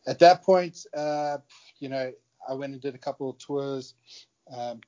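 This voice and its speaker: A South African man in his thirties tells his story over a remote call, chatty and quick to chuckle. His voice sits in a middle range. The audio is muffled and lo-fi. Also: medium pitch. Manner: chatty, warm, chuckles often. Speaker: guest, security contractor. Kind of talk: casual interview storytelling.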